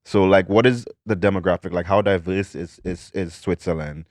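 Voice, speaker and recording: A young man hosting a podcast, asking questions slowly and hesitantly while searching for the right words. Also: low-pitched, steady tone, darkish tone, dry recording, clean studio sound. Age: young adult. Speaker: podcast host. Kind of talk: casual interview.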